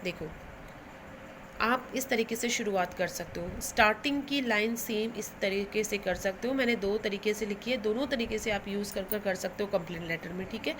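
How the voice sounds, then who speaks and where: A woman's voice: mid-range voice, moderate pace, background noise. A woman with an Indian accent teaching English to school students, explaining instructively and switching between Hindi and English phrases as she repeats and translates.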